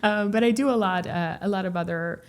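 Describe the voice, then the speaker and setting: A woman from Puerto Rico, a guest in a casual interview, who talks in an animated, chatty way and laughs often. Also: medium pitch, a little fast. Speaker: real estate broker, podcast guest.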